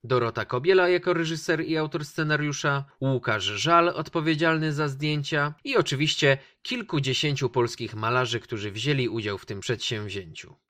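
A man's voice: mid-range voice, moderate pace, few pauses, pushed and bright. A man presenting a film review on a radio show, giving his opinions in a conversational way.

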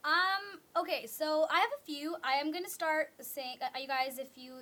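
A woman's voice: sits high, moderate pace, sharp tone. A young woman from Canada co-hosting a casual chat show, talking freely with many fillers and restarts. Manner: chatty, lots of "like" and restarts. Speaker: co-host.

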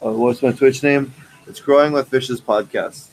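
A man's voice: mid-range voice, steady tone, normal speed, a little mellow, rough recording. A man hosting a casual podcast, explaining technical details step by step from a large indoor grow facility. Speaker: podcast host.

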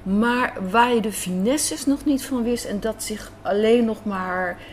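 A woman's voice: on the high side, unhurried. Dutch woman chatting in a noisy café. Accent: Dutch, from the Netherlands. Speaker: radio drama writer and director, interview guest. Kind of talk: conversational interview, storytelling. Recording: clear café background noise.

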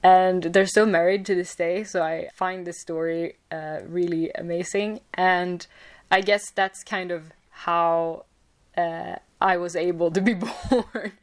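A young woman with a Swedish accent, answering interview questions casually with many pauses and fillers. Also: on the low side, steady tone. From Sweden. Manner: hesitant, many fillers and restarts. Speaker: podcast guest.

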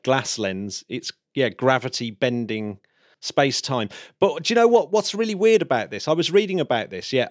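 A man hosting a space podcast in a casual, chatty way, laughing often and throwing in quick asides. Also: medium pitch, dry recording, studio quality. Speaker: podcast host. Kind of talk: casual chat.

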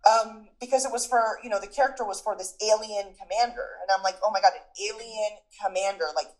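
Young adult woman, interview guest; chatty, "like"-heavy, mid-pitched, storyteller. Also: quick pace, low-quality recording. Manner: chatty, lots of "like", laughs and quoted asides. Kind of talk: casual interview chat.

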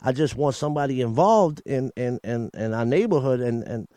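A man's voice: medium pitch, animated, normal speed, dark tone, dry recording. A man from Coney Island with a New York accent, talking candidly as an interview guest and often repeating himself and restarting. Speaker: guest, battle rapper. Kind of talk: casual interview talk.

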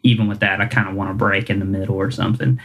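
A man's voice: low-pitched, little pitch movement, very fast, a bit bright. A man, a singer-songwriter chatting casually in an interview, rambling and self-deprecating with lots of fillers. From Kentucky, US.

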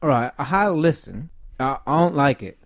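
A man's voice: a little high, animated, fairly quick, dry recording. Man putting on a hammy, swooping British accent. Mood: fussy. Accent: put-on British. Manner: hammy, playful. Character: hammy Englishman with a wandering, put-on British accent. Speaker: podcast co-host.

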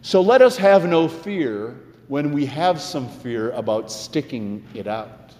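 A male pastor delivering a sermon in an earnest, emphatic way, with deliberate pauses and repeated phrases. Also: mid-range voice, expressive, darkish tone. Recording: echoey, reverberant church sound.

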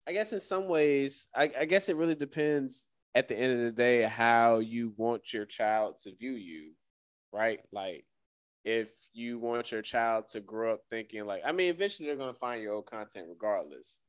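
A man's voice: mid-range voice, moderate pace, clear, resonant tone, no echo. A man hosting a radio show in casual chat, hedging and thinking aloud as he talks.

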